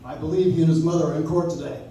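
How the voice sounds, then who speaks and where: A man playing an earnest, mild-mannered defense lawyer in a radio play, polite and measured. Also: on the high side, steady tone, dark tone, a little hiss or hum, close to an everyday voice. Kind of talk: old-time radio play acting. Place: coffee shop stage, recorded from the audience. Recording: echoey live stage, recorded from far off.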